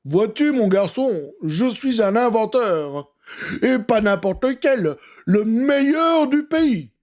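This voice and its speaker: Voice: animated, takes it slowly, a little mellow. A storyteller voicing a jolly, boastful tiny old man, an inventor professor in a children's audio story. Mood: proud. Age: older. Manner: boastful, booming laughter.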